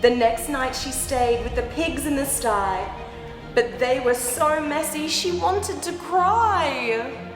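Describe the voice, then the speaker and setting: A woman reading a picture book aloud to young children, expressive, with animated, exaggerated reactions and asides. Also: high voice. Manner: animated, exaggerated reactions with gasps and drawn-out words. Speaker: children's storyteller. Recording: roomy echoing hall sound, background music. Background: music.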